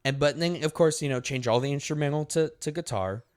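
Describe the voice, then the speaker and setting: A man's voice: on the high side, a little fast, clear, resonant tone. A young man co-hosting a casual podcast, chatting loosely, laughing often and restarting his sentences. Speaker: podcast co-host. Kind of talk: casual chat.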